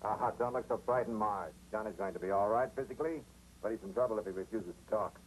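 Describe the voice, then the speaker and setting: Casting: man as calm American amateur detective, fast-talking, lowish voice, vintage TV crime drama. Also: natural voice. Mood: reassuring. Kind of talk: 1950s television crime drama acting. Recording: noisy vintage 1950s TV soundtrack.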